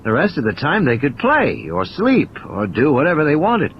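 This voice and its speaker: A man's voice: fairly high pitch, huge pitch swings, moderate pace, dull audio, a little hiss or hum. A man playing an injured, cynical and irritable scientist in an audio play, ranting emphatically in philosophical, insistent repetitions.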